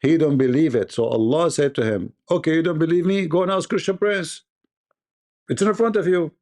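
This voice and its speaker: A man's voice: fairly high pitch, brisk, a little mellow. A man hosting a live call-in debate, pressing his caller with repeated questions in broken English. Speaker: debate livestream host.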